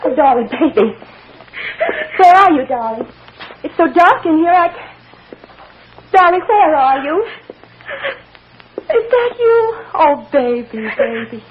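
A woman's voice: mid-range voice, big pitch swings, moderate pace, pauses often, lightly acted. Actress as tender, distraught mother, muffled radio recording. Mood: anxious, pleading. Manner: emotional, coaxing, hushed endearments. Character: tender, distraught mother soothing her lost child. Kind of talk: old-time radio soap acting. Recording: muffled, noisy old radio drama recording.

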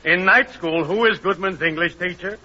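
A man's voice: high voice, moderate pace, natural voice. A man playing a pompous impostor bandleader. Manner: garbled word order, self-important. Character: pompous male impostor bandleader, mangled grammar. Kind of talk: 1940s radio comedy acting. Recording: dull old radio broadcast, faint hiss.

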